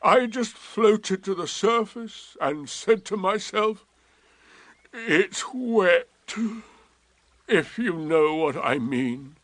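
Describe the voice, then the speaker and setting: A man voicing Eeyore, a gloomy old grey donkey who talks in a slow, sarcastic drawl in a storybook reading. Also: sits high, animated, fairly bright tone, no echo, voice pushed up, exaggerated voice. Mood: glum.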